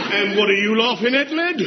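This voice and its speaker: A man's voice: sits high, dull audio, very noisy, heavily acted. An actor playing a brash, mocking army drill sergeant who barks orders and laughs at his own jokes. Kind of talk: sitcom acting.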